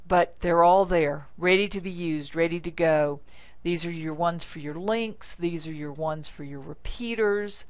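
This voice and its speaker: An American woman demonstrating software step by step, speaking informally in short, deliberate instructional phrases. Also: on the low side, no room sound. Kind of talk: step-by-step screencast tutorial narration.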